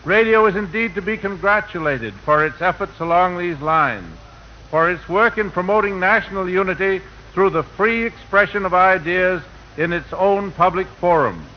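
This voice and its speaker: A man's voice: high-pitched, normal speed, dull audio, slight background noise. A man delivering a formal, oratorical prepared speech as a fair official in an old 1940 radio broadcast. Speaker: fair official and speaker introducing guests.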